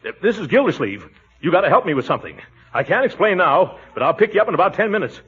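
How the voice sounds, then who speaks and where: An actor playing a pompous, chuckling middle-aged uncle who schemes sheepishly, in a 1940s radio sitcom style. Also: male voice, high-pitched, sing-song, fast talker, fairly bright tone, slightly rough, exaggerated voice. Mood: urgent. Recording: tinny, hissy 1940s radio broadcast.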